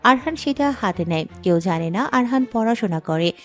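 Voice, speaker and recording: Casting: female narrator, medium pitch, scripted dramatic storytelling with a faint music bed. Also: expressive, moderate pace.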